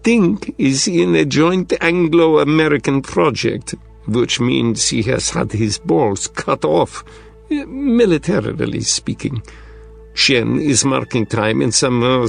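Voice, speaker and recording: A man's voice: mid-range voice, takes it slowly, gravelly, faint music behind. A man doing a sly Russian strategist, thick accent. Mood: sardonic. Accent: thick Russian accent, put on. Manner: clipped broken phrasing, dropped articles. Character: Russian male strategist, sly, blunt, wry.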